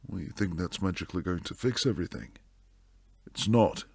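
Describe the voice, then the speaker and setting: A man playing a protective, stern but secretly tender bodyguard boyfriend in an intimate roleplay ASMR, low and breathy, slipping into whispers. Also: dry recording, exaggerated voice. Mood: stern, weary. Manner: slow, hushed delivery sliding into whispers. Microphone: close, dry microphone.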